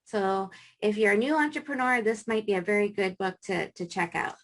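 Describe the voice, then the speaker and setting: A woman hosting an interview, asking gentle, encouraging questions. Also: mid-range voice, animated, normal speed. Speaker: host, trauma therapist.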